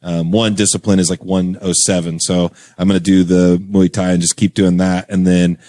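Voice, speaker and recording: A man's voice: sits low, flat delivery, moderate pace, warm tone. A young man telling a long story in a casual chat as a podcast guest, rambling with lots of fillers and laughing as he goes. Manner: rambling, filler-heavy, laughs through stories.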